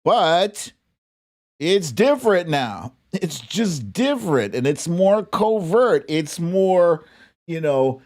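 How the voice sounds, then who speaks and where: An American commentator delivers opinionated political talk at a slow pace. The pitch sits in the middle, and the manner is blunt, emphatic and indignant, as unscripted argument from a show host. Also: big pitch swings, darkish tone.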